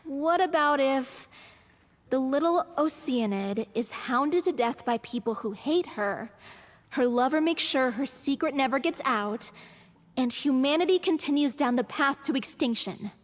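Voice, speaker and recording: A woman's voice: high-pitched, lively intonation, unhurried, long reverb tail. An actress playing a skeptical, blunt young theatre woman troubled by loss in a video game. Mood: troubled, serious. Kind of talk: video game dialogue acting.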